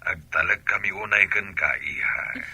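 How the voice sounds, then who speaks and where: A man's voice: on the low side, fairly even intonation, normal speed, bright, forceful tone, husky, no room sound, full character voice. A man playing a doting middle-aged father in a radio comedy drama. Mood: concerned, indulgent.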